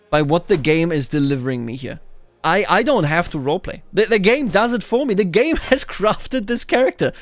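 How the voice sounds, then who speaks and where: Male game streamer, slightly high voice, casual and expressive, thinking aloud between narrated passages. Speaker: gaming video host. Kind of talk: casual game commentary mixed with reading in-game story text aloud.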